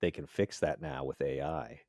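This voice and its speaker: A man's voice: very deep voice, fairly even intonation, moderate pace, darkish tone, no room sound, studio quality. A man co-hosting a casual podcast, explaining details like a knowledgeable fan. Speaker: podcast co-host. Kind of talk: casual chat.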